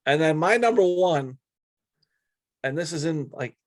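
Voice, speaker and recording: A man's voice: a little high, lively intonation, measured pace, dry recording, clean studio sound. A man co-hosting a casual sports talk show, with a blunt, opinionated way of talking. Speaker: sports podcast co-host. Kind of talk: casual sports chat, counting down a list.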